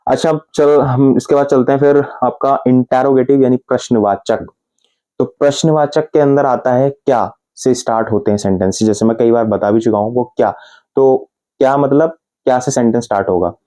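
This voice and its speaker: A man from India with an Indian accent, teaching English grammar in Hindi and patiently repeating example sentences slowly, word by word. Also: mid-range voice, warm tone.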